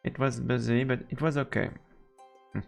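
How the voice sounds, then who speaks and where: Gravelly French-accented man streaming a game. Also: mid-range voice, little pitch movement, normal speed, soft background music. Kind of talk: live let's play, reading game text aloud and commenting.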